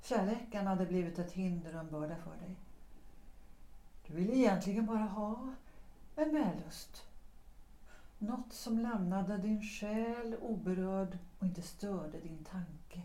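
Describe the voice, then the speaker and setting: An actress playing a former singer who calmly and deliberately explains why she left her lover. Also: medium pitch, lightly acted. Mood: reproachful. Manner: measured, deliberate, quietly reproachful. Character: woman, a former opera singer, calmly accusing. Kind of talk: audio drama acting. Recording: roomy echo.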